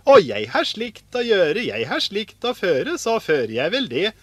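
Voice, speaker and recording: Man acting a cheerful folktale boy, high voice, swooping intonation, excitable, sing-song storybook delivery. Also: no echo, exaggerated voice. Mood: cheerfully stubborn. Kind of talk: acted storybook dialogue.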